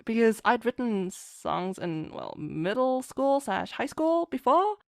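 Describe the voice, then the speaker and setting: A woman's voice: a little high, lively intonation, slow pace, gravelly, no room sound, studio quality. A woman chatting casually as an interview guest, rambling enthusiastically and acting out her own thoughts as she goes. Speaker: podcast guest, fan fiction writer.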